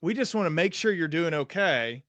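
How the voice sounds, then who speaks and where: A man's voice: a little high, lively intonation, moderate pace, a bit bright, no room sound. A young man hosting an interview as a psychiatrist, telling stories and voicing his own past thoughts. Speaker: psychiatrist host. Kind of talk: casual interview talk.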